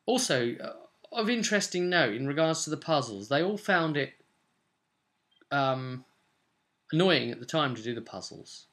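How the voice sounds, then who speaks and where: A man's voice: a little high, very animated, moderate pace, long pauses, a bit bright. A British man in his twenties talking casually to camera in a rambling, conversational way as a game master, recorded in a room at home. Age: 20s. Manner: rambling, conversational, with tag questions. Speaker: game master recapping sessions as vlogger. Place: small indoor room at home.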